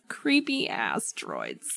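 A woman's voice: fairly high pitch, creaky, fairly bright tone, no echo. A woman co-hosting a podcast, chatting informally and ramblingly, with lots of "like" and "you know". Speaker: podcast co-host. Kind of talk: casual book club chat.

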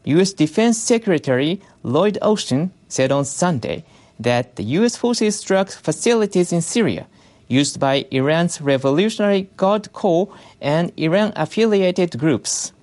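A news reader delivering scripted international news in a measured, formal way. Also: mid-range voice, lively intonation, darkish tone.

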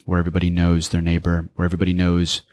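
American guy around 40, deep voice, deliberate storyteller on a podcast, dry studio sound. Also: completely flat delivery, a little mellow, no echo. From United States, raised in small-town Pennsylvania. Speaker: podcast guest, speaker. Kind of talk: reflective personal storytelling in an interview.

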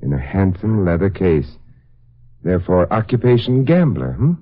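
An actor playing a suave, wry gentleman sleuth in a 1950s radio drama, urbane and drily witty. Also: deep voice, very animated, moderate pace. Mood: coolly deducing. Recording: dull lo-fi vintage radio recording.

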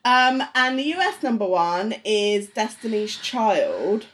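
A woman's voice: medium pitch, sing-song, slow pace, fairly bright tone. A woman co-hosting a podcast in casual chat, giggling often as she reads out facts. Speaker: podcast co-host.

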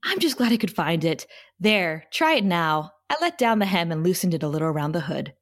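A woman reading the part of a gentle, wistful middle-aged mother in a script table read. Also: fairly low pitch, animated, fairly quick, voice pushed down, close to an everyday voice. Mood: wistful, reassuring.

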